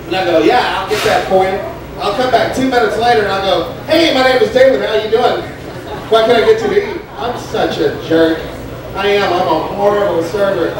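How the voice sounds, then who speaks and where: Young male open-mic comic, high-pitched, halting and rambling, recorded from across a very echoey restaurant over crowd noise. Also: far from the mic. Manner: rambling, halting delivery with many fillers and restarts; acts out a stoned, scatterbrained waiter. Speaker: stand-up comedian. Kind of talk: open-mic stand-up comedy. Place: Mexican restaurant with a live crowd.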